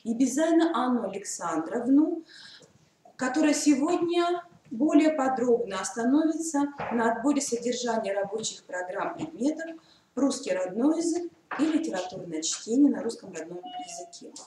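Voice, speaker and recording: A woman's voice: fairly high pitch, animated, normal speed, warm tone. A woman moderating a seminar, formally introducing the speakers and politely addressing her colleagues. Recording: echoey room, mic far off, faint noise.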